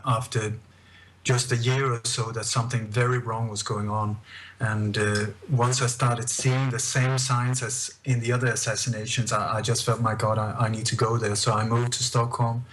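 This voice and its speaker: A middle-aged man with a Scandinavian accent, recounting his research as a radio interview guest in a measured, halting way with repeated phrases. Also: lowish voice, fairly bright tone, a little hiss or hum, rough recording. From born in Denmark, emigrated to Sweden at eight.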